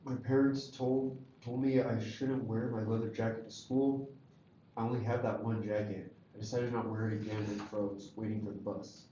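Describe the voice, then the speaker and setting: A man's voice: on the low side, level tone, normal speed, warm tone, lightly acted. A man acting a wary, wounded teenage goth boy in a screenplay table read, subdued and guarded. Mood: hurt, subdued. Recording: echoey room, dull lo-fi, faint noise.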